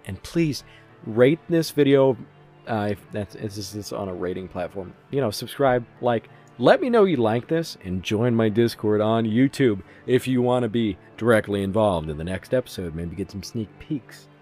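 A man's voice: fairly low pitch, a little mellow. A man speaking as a show's creator, giving casual closing credits in an eager, self-deprecating way. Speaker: creator, writer and director.

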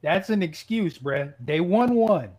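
A man's voice: sits high, animated, measured pace, darkish tone, low-quality recording. A man co-hosting a sports podcast, arguing casually and insistently in slangy language and repeating himself. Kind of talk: casual, argumentative sports chat.

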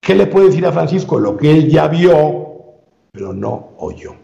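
A man speaking as an interview guest, giving a long measured explanation with frequent filler sounds and restarts. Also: on the high side, very animated, gravelly, warm tone. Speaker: guest researcher. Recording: roomy, dull lo-fi sound.

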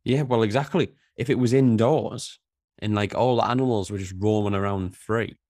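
Male podcast host, low voice, laid-back banter, close dry mic. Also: normal speed, darkish tone, no echo. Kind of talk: casual chat.

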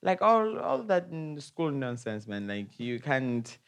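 A man's voice: medium pitch, very animated, takes it slowly, fairly bright tone. A young man from South Africa with a South African accent, a guest chatting casually in a laid-back, slangy, rambling way. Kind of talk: casual interview chat.

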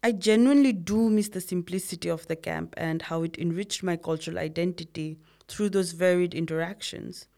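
A young woman from a Bhutanese refugee background who grew up in Nepal, speaking in a measured, reflective, read-aloud way about identity. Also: lowish voice, gravelly, dark tone. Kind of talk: scripted conversation.

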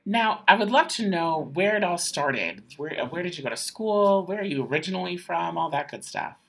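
A woman hosting a podcast interview, gushing with excitement like an eager fan. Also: on the low side, moderate pace, creaky. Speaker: podcast host. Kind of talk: casual interview.

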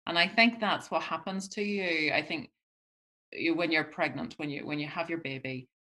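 A middle-aged woman talks through her experiences as an interview guest. Her voice sits low for a woman yet sounds bright and forward. She speaks reflectively, often pausing and restarting her sentences. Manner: reflective, halting with many restarts. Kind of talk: conversational interview.

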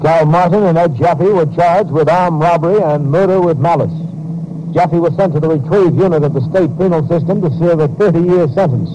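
A man's voice: on the high side, normal speed. An American man giving formal, factual scripted narration in 1950s radio style. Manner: factual, official. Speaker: case results narrator. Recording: old radio recording, faint background noise.

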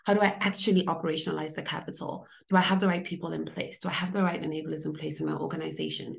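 A woman's voice: lowish voice, vocal fry, darkish tone. A woman from Zimbabwe, an executive coach, explaining her career as a podcast interview guest in an articulate way, laying out points in lists.

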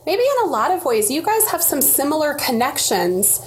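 Lively higher-voiced woman host. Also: normal speed. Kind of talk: casual interview chat. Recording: faint background noise and ambience.

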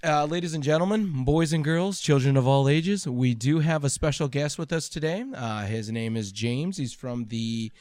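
Higher-pitched man, jokey podcast host. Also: normal speed, few pauses, a little mellow, dry recording. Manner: jokey, rambling, playful teasing. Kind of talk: casual jokey podcast chat.

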